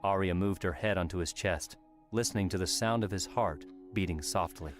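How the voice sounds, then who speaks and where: A man with a very low-pitched voice narrates an audiobook. He reads scripted story prose, and quiet music plays underneath. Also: normal speed.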